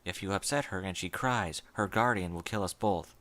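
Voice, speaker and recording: A man voicing a calm, stern pony who speaks in a low murmur. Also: moderate pace. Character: calm, stern pony guard, quietly menacing.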